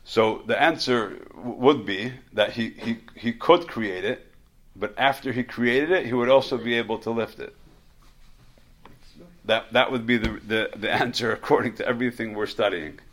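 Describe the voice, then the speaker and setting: A man's voice: mid-range voice, moderate pace, a bit bright. A man teaching a religious study class in an informal way, often repeating words and restarting his sentences as he explains. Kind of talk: informal religious lecture with questions.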